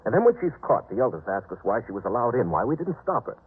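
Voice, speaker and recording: A man's voice: mid-range voice, very animated, fast talker, breathy edge. An actor playing an officious, suspicious veteran night sentry in a 1950s radio drama, taunting and blustering as he questions people. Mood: suspicious, lecturing. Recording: muffled, band-limited 1950s radio recording.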